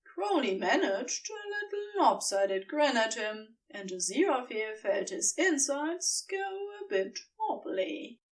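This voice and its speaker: Female fiction narrator: tender, high-pitched, unhurried, slightly off the microphone. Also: distant mic. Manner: expressive, tender storytelling.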